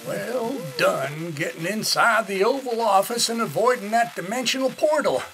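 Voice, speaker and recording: Animated, smug colonel over music. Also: male voice, high voice, vocal fry, full character voice, low-quality recording. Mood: pleased, conspiratorial. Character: smug duplicitous male colonel, secret double agent. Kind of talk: radio drama acting. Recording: music behind the voice.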